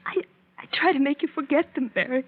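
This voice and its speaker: Fragile, devoted invalid wife, played by a woman, very high voice, pleading melodrama, tinny 1940s radio sound. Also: fairly quick, lightly acted. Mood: pleading, hopeful. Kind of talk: 1940s radio drama acting.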